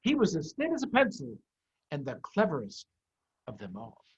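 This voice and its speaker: A middle-aged American man, a head of school, hosting an online story time and reading aloud to young children in an animated, emphatic way with dramatic pauses. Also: fairly high pitch, breathy edge. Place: online video call. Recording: dull, muffled online video call.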